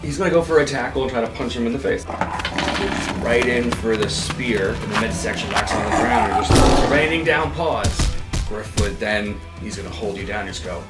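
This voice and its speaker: A man's voice: mid-range voice, normal speed. A man playing in a roleplay podcast, improvising dialogue and describing action in a casual, slangy way. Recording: loud music over the voice. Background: loud music.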